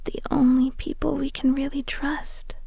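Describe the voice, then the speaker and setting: A woman voicing a jealous, proud young fighter in a scripted audio roleplay, breathy and often whispery. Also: sits high, flat delivery, a little slow, mellow, dry recording, exaggerated voice. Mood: possessive.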